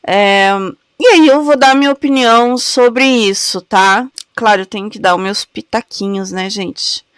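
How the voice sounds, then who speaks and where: A woman's voice: fairly high pitch, smooth, dry recording. A woman hosting a react channel, chatting affectionately with viewers while she has a cold. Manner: chatty, affectionate, slow and drawn-out. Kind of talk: casual chat to viewers.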